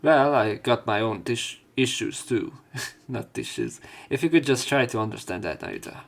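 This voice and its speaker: A man's voice: mid-range voice, sing-song, normal speed, creaky. A man reading visual novel text aloud as a let's play narrator, with casual, irreverent and sometimes sweary commentary. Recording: game music behind the voice.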